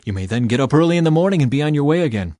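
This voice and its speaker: A man's voice: fairly high pitch, animated, racing, dark tone, no room sound, studio quality, pitched above the performer's own voice, lightly acted. A man playing an anxious, hospitable townsman who speaks urgently and beseechingly in a scripture audiobook. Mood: eager, welcoming. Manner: urgent, begging.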